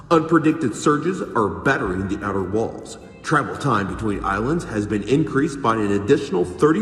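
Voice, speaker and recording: A man playing a calm, formal official reading procedural weather and emergency bulletins in a radio drama. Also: mid-range voice, natural voice. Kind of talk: scripted public address bulletin. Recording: echoey long reverb with music.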